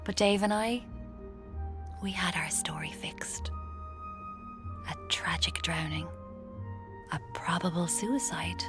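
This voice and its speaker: An actress with an Irish accent playing a scheming, guilt-haunted young woman writer in a radio drama, with a breathy, creaky voice. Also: on the low side, slow, long pauses, music in the background. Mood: confessional, smug. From Ireland. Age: young adult. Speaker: lead actor and narrator in a radio drama. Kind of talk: intimate first-person radio drama narration.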